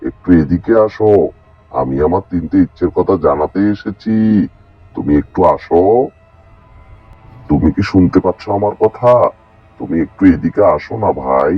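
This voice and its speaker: Man as a deep-voiced honest village fisherman. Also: lively intonation, moderate pace, pauses often, slightly rough, no room sound. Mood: calling, earnest. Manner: earnest, questioning. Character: honest, kind village fisherman, questioning and unselfish. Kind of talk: animated folk tale acting. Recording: dull lo-fi sound, music behind.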